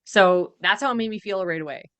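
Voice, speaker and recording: A woman's voice: mid-range voice, brisk, dry recording, lo-fi sound. A woman co-hosting a podcast, reacting casually in a blunt, emphatic way. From Canada. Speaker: podcast co-host.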